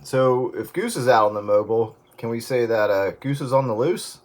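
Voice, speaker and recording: A man's voice: medium pitch, moderate pace. A man in casual CB radio chat, talking in a folksy way with radio jargon over very noisy, clicking radio audio.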